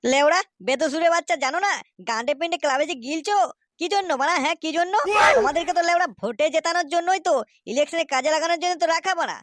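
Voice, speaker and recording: A woman's voice: very high-pitched, rapid-fire, no room sound. A woman voicing a bullying, foul-mouthed Bengali village gang boss in a comedy cartoon, coarse and blustering. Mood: angry bluster.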